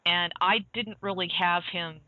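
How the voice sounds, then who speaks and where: A man's voice: high voice, steady tone, a little slow. A man from the southern United States chatting casually as an interviewer, with interjections and a conversational manner. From southern United States (Georgia, North Carolina). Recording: tinny phone-like call audio.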